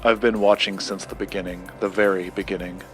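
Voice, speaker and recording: Guarded male occult researcher, played by an actor, lowish voice, formal and precise, audio drama with music underneath. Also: little pitch movement, lightly acted, poor audio. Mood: measured, explanatory. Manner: formal, precise, measured wording. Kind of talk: scripted audio drama dialogue.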